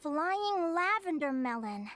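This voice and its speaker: Squeaky excitable little fairy girl. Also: takes it slowly, slight background noise, exaggerated voice. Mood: indignant. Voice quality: squeaky, childlike. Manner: exclamatory, refers to self in third person. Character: small excitable floating fairy girl companion, blunt and cheeky. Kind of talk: video game voice acting.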